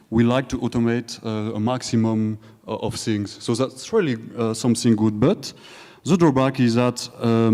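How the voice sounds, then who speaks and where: Young French-accented man presenting at a conference; casual, joking, full of fillers, mid-pitched voice in a hall with long reverb. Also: dark tone. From France. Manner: joking, hesitant, many fillers and restarts. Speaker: conference speaker. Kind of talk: live conference talk. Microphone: handheld or stage microphone.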